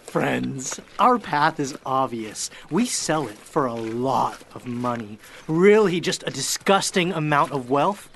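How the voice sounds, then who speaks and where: A smooth-talking, greedy rogue, acted by a man in a fantasy audio drama. He is wordy and theatrical, and his pitch swings widely through each phrase. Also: animated, slow, hardly any pauses, a bit bright, slight background noise, exaggerated voice. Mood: scheming. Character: glib, greedy roguish adventurer, leader of a band.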